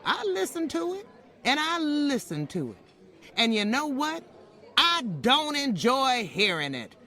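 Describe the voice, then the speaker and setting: An older Southern housekeeper and grandmother, played by a woman, with a folksy Southern US accent. Her pitch swings widely as she speaks. She is polite and measured but plainspoken, wry and firm, in an old-time radio drama style. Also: big pitch swings, sharp tone, full character voice. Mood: indignant, resolute.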